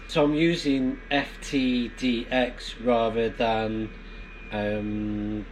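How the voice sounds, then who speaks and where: A man's voice: mid-range voice, poor audio. A man giving a casual, rambling vlog explanation, hesitant and thinking aloud as he goes. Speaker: amateur radio vlogger. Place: inside a barn. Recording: clear background noise in barn.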